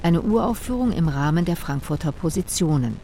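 A woman with a deep, mellow voice reads as the announcer of a radio play. Her delivery is scripted narration, with faint noise behind her. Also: expressive, fairly quick. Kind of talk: scripted narration, credits reading.

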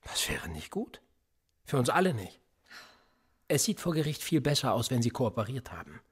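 Sardonic, menacing police interrogator, husky. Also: male voice, mid-range voice, big pitch swings, moderate pace. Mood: veiled threat. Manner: sardonic, insinuating, coolly threatening. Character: cynical, menacing male police interrogator, sly and pressing. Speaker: actor in a radio drama. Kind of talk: naturalistic German radio play acting.

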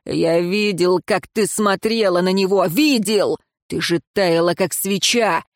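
A woman voicing a furious, jealous male prison warden, slow and growling in a dry recording. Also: on the low side, no room sound.

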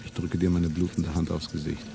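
An actor playing a grim, defiant rebel man, declaiming gravely and solemnly in a radio play. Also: low voice, level tone, brisk, crowd noise. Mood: defiant, bitter. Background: music.

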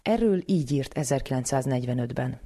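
A woman's voice: rumbling low, lively intonation, mellow, husky. A woman hosting a science programme, narrating from a script and putting questions to a guest. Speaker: science programme host and interviewer.

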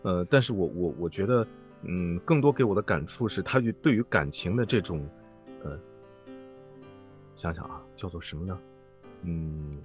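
A man's voice: sits low, animated, moderate pace, long pauses, lo-fi sound, soft background music. A man speaking as a voice actor guest in an interview, reflective and hesitant as he searches for words. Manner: hesitant, thoughtful phrasing.

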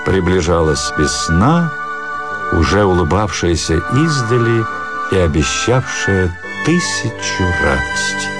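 A man's voice: sits low, sing-song, slow. A man narrating a literary audio drama as a scripted reading. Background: music.

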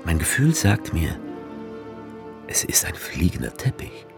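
A man's voice: low voice, sing-song, measured pace, vocal fry, full character voice. An actor playing a male writer who travels to Tripoli and narrates the story in a dry, ironic way. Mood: wry. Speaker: narrator as author character. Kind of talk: radio drama narration. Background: music score.

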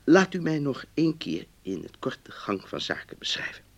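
Husky, animated, exasperated polite customer. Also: male voice, on the high side, normal speed. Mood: earnest, aggrieved. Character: exasperated, polite male book-club customer writing letters. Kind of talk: radio play, letter read aloud.

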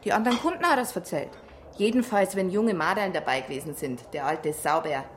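An actress playing a chatty small-town bakery shopkeeper in a radio drama, folksy and gossipy, with a Bavarian accent. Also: female voice, lowish voice, lively intonation, brisk, natural voice. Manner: folksy, chatty, gently disapproving. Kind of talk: naturalistic radio drama dialogue. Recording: clear ambient noise behind dialogue.

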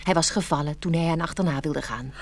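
Low, husky woman storyteller. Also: quick pace. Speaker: narrator. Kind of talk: expressive scripted fairy-tale narration.

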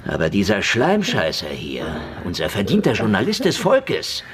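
A man's voice: fairly low pitch, huge pitch swings, moderate pace. An actor in an audio drama playing a sardonic, mockingly polite male interrogator who taunts and threatens. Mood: gloating, sneering. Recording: loud music under the voice.

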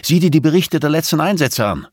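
An irascible, defiant investigator in an audiobook scene, acted out by a male reader. He pushes his words out insistently at a raised pitch, with sardonic contempt. The sound is very dry. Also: expressive, a little fast, dry recording. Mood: urgent, insistent. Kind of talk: audiobook character dialogue.

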